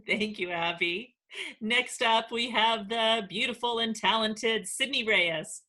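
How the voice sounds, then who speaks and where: A woman's voice: on the high side, slow, bright, forceful tone. A woman hosting and narrating a children's read-aloud as its author.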